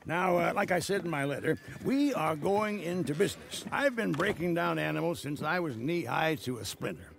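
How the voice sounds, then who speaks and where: A gravelly old trapper pitching a deal. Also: male voice, lively intonation, moderate pace, few pauses, noisy background. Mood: scheming. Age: older. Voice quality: gravelly, hesitant with many uhs. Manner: rambling salesman's pitch, wheedling. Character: rambling old trapper and tanner, scheming business partner. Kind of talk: video game cutscene acting.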